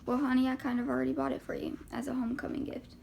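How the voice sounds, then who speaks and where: A woman voicing a gruff, bashful middle-aged father in an audiobook reading, mumbling and halting. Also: high voice, flat delivery, darkish tone, slight background noise. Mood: hopeful, earnest. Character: gruff, sheepish, awkwardly kind middle-aged small-town father.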